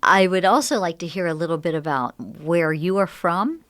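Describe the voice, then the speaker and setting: A woman's voice: fairly low pitch, animated, moderate pace, a bit bright, no room sound. A woman hosting a radio interview, putting in brief prompts and questions. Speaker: radio host.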